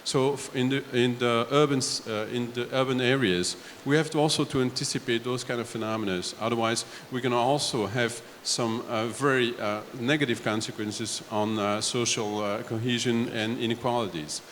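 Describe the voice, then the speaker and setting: A man from Belgium on a discussion panel, laying out his points one step at a time in a halting way. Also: medium pitch, fairly even intonation, fairly bright tone. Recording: echoey hall, faint background noise.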